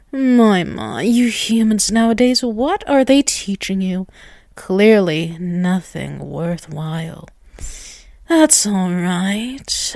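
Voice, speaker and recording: A woman's voice: mid-range voice, a little mellow, no echo, clean studio sound. A woman voicing a dominant, ageless vampiress in an ASMR roleplay, teasing and condescending, drawing out her words. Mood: mocking, menacing. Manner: slow, condescending, teasing, with drawn-out words and chuckles. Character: dominant, taunting ageless vampiress toying with a captive human.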